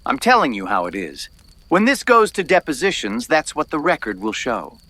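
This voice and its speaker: An actor playing a defiant man coldly taking the blame in an audio drama, speaking deliberately and emphatically. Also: medium pitch, sing-song, sharp tone, no echo. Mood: defiant, insistent.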